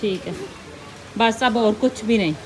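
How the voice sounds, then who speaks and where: A mother with a Pakistani Urdu accent, casually vlogging a shopping trip with her young daughter and gently teasing her. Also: a little high, a little slow, a crowd in the background. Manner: teasing, firm with the child. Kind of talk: casual family vlog. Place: indoor shop. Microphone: phone held by the filmer. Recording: noisy shop ambience.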